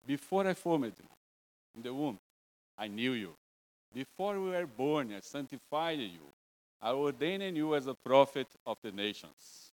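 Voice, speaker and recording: A male preacher from Brazil speaks with a Brazilian Portuguese accent, delivering a casual church sermon. His English is broken and halting, and he keeps the tone light with jokes at his own expense. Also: medium pitch, lively intonation, darkish tone, low-quality recording. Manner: joking, self-deprecating, broken English. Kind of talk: informal sermon.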